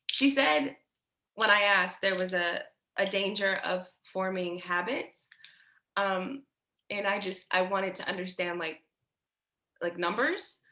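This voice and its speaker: A woman acting a nervous patient who speaks haltingly and stammers in a staged play reading. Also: mid-range voice, sharp tone. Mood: nervous, hesitant. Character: nervous patient leaving a halting phone message. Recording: roomy, echoey sound.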